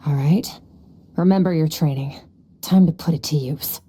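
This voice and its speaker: An actress in a video game playing an aloof, coolly commanding woman warrior mentor, detached and measured. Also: sits low, mellow, breathy edge. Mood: calm, instructive.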